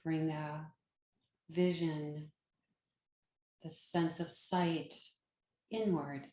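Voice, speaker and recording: A woman with a slightly low voice guides a meditation in a very slow, unhurried way. She is calm and gentle, leaving long pauses between phrases. The sound is roomy, with a clear echo around her words. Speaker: meditation guide, yoga teacher.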